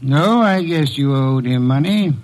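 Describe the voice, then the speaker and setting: An actor playing a haughty, erudite middle-aged detective boss in an old radio drama, pompous and curt, with sardonic, precise speech. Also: male voice, on the high side, lively intonation, unhurried, low-quality recording. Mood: probing. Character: gruff, haughty, erudite male detective boss, orchid lover.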